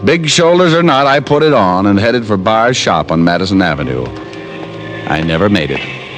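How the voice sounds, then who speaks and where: A man's voice: fairly low pitch, expressive, moderate pace, natural voice. A man playing a wisecracking, hard-boiled private detective in an old radio drama, wry and flippant. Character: wisecracking male private detective telling his own story. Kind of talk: 1950s radio detective drama narration and acting. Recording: vintage radio recording with music behind.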